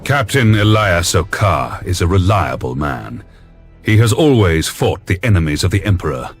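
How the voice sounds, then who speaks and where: An actor playing a grave, authoritative imperial high commander in a video game, husky and weighty, with formal delivery. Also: male voice, sing-song, normal speed, heavily acted. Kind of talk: video game cutscene acting. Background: music.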